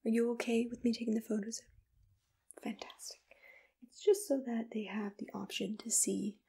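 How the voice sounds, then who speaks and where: A woman whispering breathily in a soft ASMR roleplay, with a gentle, reassuring, attentive manner, as if giving personal attention to a client. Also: a little high, fairly even intonation, normal speed, creaky, husky. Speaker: ASMR roleplay host as a monster matchmaker.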